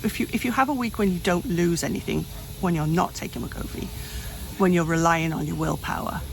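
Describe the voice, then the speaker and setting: A woman's voice: lowish voice, fairly quick, gravelly, low-quality recording. A woman of fifty-eight speaking candidly in a personal vlog, rambling and often restarting her sentences. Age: 50s. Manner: rambling, frequent restarts and self-corrections. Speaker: vlogger. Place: home, hot room.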